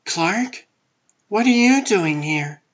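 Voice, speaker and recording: A man's voice: high-pitched, lively intonation, slow pace, highs cut off, very noisy. A man voicing a guarded but friendly woman reporter in a comic book dub.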